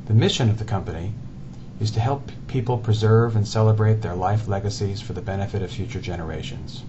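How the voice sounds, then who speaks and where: A man in his forties presents his company in an earnest, deliberate way, leaning on lists of words. His voice sits fairly low. The recording is dull and lo-fi, with a steady hiss of background noise. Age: 40s.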